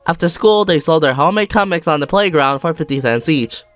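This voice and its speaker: A narrator reading a children's storybook aloud in an animated, theatrical way for a fan dub. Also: mid-range voice, brisk. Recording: music behind the voice.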